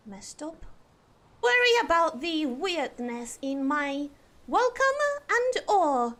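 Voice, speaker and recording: A young woman streaming live, chatting in an exaggerated put-on posh British accent with a theatrical, drawn-out delivery. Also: high voice, sing-song, fairly bright tone. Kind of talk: casual live-stream chat.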